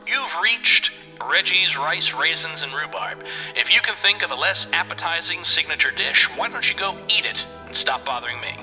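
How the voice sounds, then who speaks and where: Sardonic, self-deprecating pal, male actor, highish and forceful, dry teasing delivery, reverberant call with music. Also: expressive, moderate pace. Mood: wry. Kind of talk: acted audio drama.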